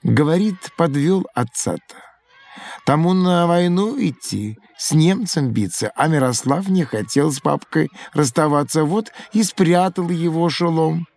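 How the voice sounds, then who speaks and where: A man's voice: a little high, lively intonation, a little slow, a little mellow. A folksy schoolmaster from olden times in an educational audio play. He explains his craft expressively, in the manner of a village storyteller. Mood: fond, storytelling. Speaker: teacher. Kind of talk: educational audio drama acting.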